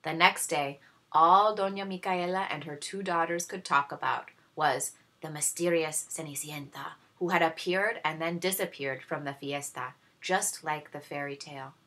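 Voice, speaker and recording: A woman reading a children's story aloud in expressive narration. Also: low-pitched, normal speed. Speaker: story reader.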